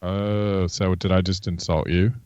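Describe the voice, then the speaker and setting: A man's voice: low-pitched, level tone, unhurried, a little mellow, clean tone, no room sound, muffled recording. A male podcast co-host with a sore throat who teases the guest bluntly. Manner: teasing, blunt.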